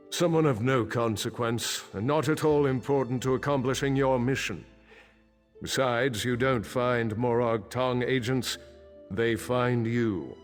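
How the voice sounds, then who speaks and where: Stern, worried dark elf patriarch played by a man, game dialogue, formal and measured, long hall reverb, faint music. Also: medium pitch, close to an everyday voice. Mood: grave, disapproving. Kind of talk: video game dialogue acting.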